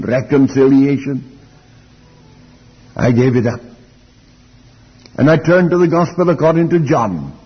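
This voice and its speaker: Elderly preacher, muffled in a reverberant hall. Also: male voice, mid-range voice, breathy edge. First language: Welsh. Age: older, elderly. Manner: deliberate, reflective, with rhetorical questions and repeated phrases. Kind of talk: Bible teaching sermon.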